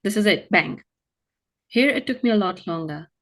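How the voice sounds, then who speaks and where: A woman's voice: medium pitch, animated, moderate pace. A middle-aged woman from India with an accent that blends Indian, Irish and Australian sounds, answering interview questions thoughtfully with some hesitation. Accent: mixed Indian, Irish and Australian. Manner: thoughtful, hesitant, with soft fillers. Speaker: interview guest.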